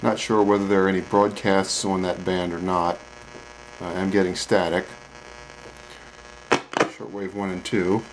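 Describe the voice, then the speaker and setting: Man, fairly low voice, informal demonstration style, presenter, faint background noise. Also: flat delivery, measured pace, long pauses. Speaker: presenter demonstrating vintage radio for sale. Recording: clearly noisy, room ambience.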